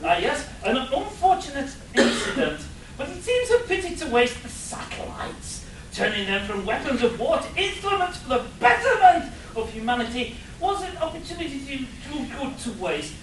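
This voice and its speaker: A man's voice: very high voice, sing-song, moderate pace, fairly bright tone, slight background noise, full character voice. A man playing a learned scientist professor in a comic radio play, explaining things precisely. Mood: wistful. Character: learned scientist professor, matter-of-fact, slightly wistful. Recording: very echoey room, distant mic.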